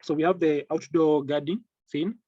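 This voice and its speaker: A man giving an informal product training over slides in a halting, repetitive, hesitant way. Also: fairly high pitch, fairly even intonation, warm tone, dry recording, dull audio. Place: online video call with screen-shared slides.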